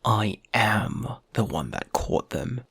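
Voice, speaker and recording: Surly, husky young male werewolf acted by a man. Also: fairly low pitch, expressive, takes it slowly, fairly bright tone, no echo, clean studio sound, exaggerated voice. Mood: defensive. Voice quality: gravelly, husky. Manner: sullen, defensive. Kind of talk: audio roleplay acting.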